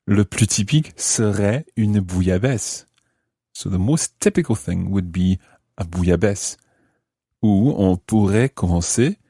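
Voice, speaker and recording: Man, low husky voice, language teacher, measured explanations, repeated phrases, dry close recording. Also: warm tone, no room sound. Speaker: language teacher and podcast host. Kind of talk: lesson explanation, switching between English and French.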